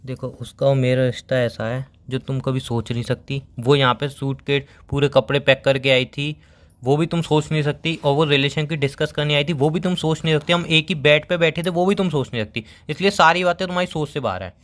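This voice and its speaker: A man's voice: on the high side, steady tone, brisk, a bit bright. A young man in a casual phone argument. He talks in an evasive, teasing way, with an exasperated edge, as he bickers with a partner. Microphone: phone call.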